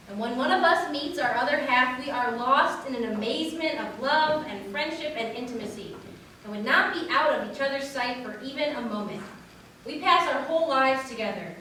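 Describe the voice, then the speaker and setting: A woman's voice: high-pitched, pushed and bright. A woman giving a formal literary recitation as a wedding reader. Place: large echoey room at a wedding. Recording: echoey hall, distant mic, faint noise.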